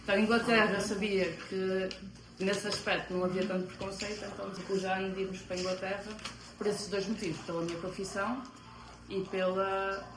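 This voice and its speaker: A woman's voice: mid-range voice, level tone, fairly quick, echoey room, background noise. A woman from Portugal with a European Portuguese accent, answering interview questions hesitantly, with frequent fillers.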